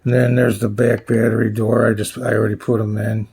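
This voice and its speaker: A man giving a casual, unscripted product walkthrough as a reviewer, plainspoken and halting as he thinks aloud. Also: lowish voice, little pitch movement, darkish tone. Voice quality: gravelly, husky. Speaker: radio reviewer presenting his channel.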